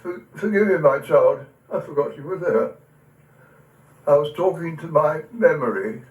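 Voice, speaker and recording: A man's voice: on the high side, a little slow, close to an everyday voice. A man playing a tender, wistful old grandfather and war veteran in a recital of dramatic verse, with an emotional quiver in his voice. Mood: apologetic, wistful. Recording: echoey room, distant from the mic.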